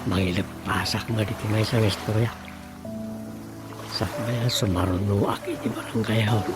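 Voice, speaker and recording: A man's voice: fairly low pitch, fairly even intonation, a little slow, loud music behind the voice, lightly acted. A man acting a musing villager who thinks aloud in an Ilocano radio comedy, with an Ilocano accent. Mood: pondering. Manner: thinking aloud, with hums and sighing interjections.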